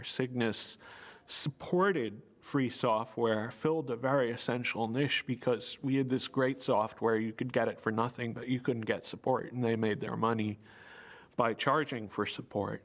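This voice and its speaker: A man in an interview, making firm, deliberate statements. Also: medium pitch.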